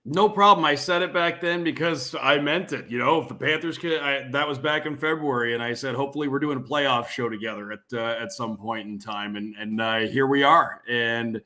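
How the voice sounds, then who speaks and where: A man with a bright, forceful voice at a middling pitch talks sports as a podcast guest. He sounds like a radio play-by-play announcer giving conversational analysis. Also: normal speed, few pauses.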